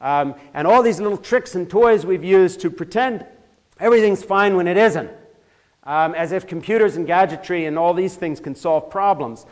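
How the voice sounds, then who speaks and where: A man's voice: sits high, moderate pace. An older man from Switzerland giving an impassioned talk in a church, growing heated and emphatic as he goes, often restarting his sentences. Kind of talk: impassioned lecture.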